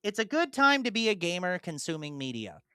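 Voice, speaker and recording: A young man co-hosts a casual podcast, talking eagerly and circling back through his thoughts. His voice is bright and crisp, a little higher than most men's. The sound is close and dry. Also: big pitch swings, a little fast, no room sound. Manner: enthusiastic, rambling with restarts. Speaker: podcast co-host. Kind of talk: casual chat.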